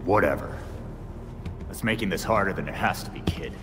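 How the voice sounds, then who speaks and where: An actor playing a hot-headed young male vigilante in a video game, gruff, sarcastic and impatient. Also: sits low, brisk, music in the background, natural voice. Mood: cocky, impatient. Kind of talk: dramatic video game acting.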